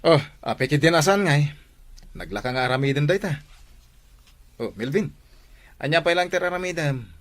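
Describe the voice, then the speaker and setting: A man's voice: a little high, lively intonation, fairly quick, pauses often, fairly bright tone. A man acting an outspoken, sociable friend in an audio drama, with an Ilocano accent and a teasing, emphatic delivery.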